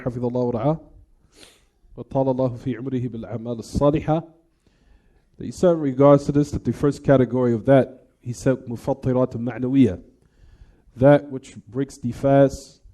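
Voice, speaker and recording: A man's voice: mid-range voice, warm tone. A man teaching religion in an Islamic lecture, explaining point by point with repetition and weaving Arabic terms and formulas into his speech. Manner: didactic, repeats and restates points.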